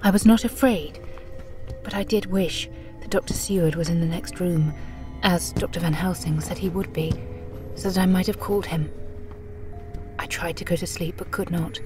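Audio drama role: actress as weakened, fearful young woman, lowish female voice, whispery delivery, music underneath. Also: lightly acted. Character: frightened, weakened young woman writing her last record. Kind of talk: audio drama first-person narration.

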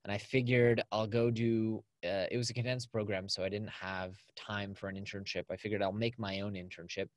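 A man's voice: on the low side, little pitch movement, a little fast, few pauses, dry recording. A man, talking as a podcast guest in an upbeat, chatty way over a video call. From California. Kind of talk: casual conversational interview. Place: small home studio with acoustic foam.

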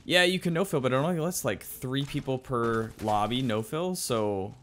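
A man's voice: mid-range voice, moderate pace, a bit bright. A man giving casual, rambling gameplay commentary as host of a gaming video. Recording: faint game noise behind voice.

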